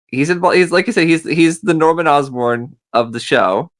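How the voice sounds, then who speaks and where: A man's voice: fairly high pitch, moderate pace. A man in a casual panel discussion, arguing his case with examples as a podcast panelist.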